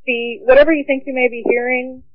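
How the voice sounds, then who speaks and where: A woman's voice: sits high, steady tone, quick pace, no echo. A woman working as a customer support agent on a call, speaking in a curt, matter-of-fact way. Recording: dry, tinny phone line.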